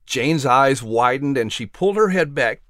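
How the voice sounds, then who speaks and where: A man narrating a children's story as announcer, with expressive, scripted storytelling delivery. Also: medium pitch, moderate pace, dry recording, clean studio sound.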